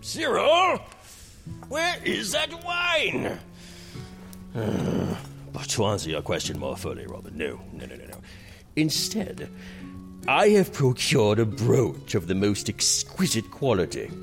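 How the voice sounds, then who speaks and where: A self-indulgent, tipsy prince who fancies himself king, with a creaky voice that swoops extravagantly. He is haughty, jovial and theatrical, as in a medieval radio play, with music underneath. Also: on the low side, huge pitch swings, slow pace, fairly bright tone, exaggerated voice. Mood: dismissive, grand. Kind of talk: medieval audio drama acting.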